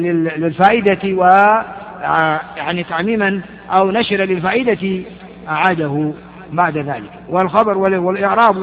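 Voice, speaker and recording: A man with a high voice teaches grammar, his words ringing with a long hall echo. He keeps firing quick questions at his listeners and answering them himself, with plenty of false starts. The recording sounds muffled and lo-fi. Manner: halting, with repeated false starts. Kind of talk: classroom lesson explaining verse on grammar.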